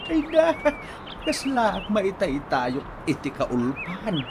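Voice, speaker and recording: A playful, boastful older Ilocano dad in a radio comedy. He draws his words out slowly and swings wildly in pitch, doting and teasing, over clearly noisy sound with birds. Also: huge pitch swings, full character voice. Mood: smug, gleeful. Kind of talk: radio comedy drama acting. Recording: noisy track with chirping birds.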